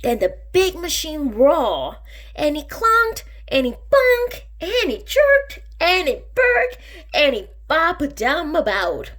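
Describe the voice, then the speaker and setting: A woman reading a rhyming picture book aloud for children, with expressive, dramatic emphasis. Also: high voice, slow. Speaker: children's storybook reader.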